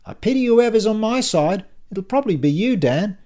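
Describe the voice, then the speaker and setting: An excitable male dinner guest from an audiobook, acted by a male narrator. He is chatty and self-deprecating, and his voice sits high and lifted. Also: normal speed.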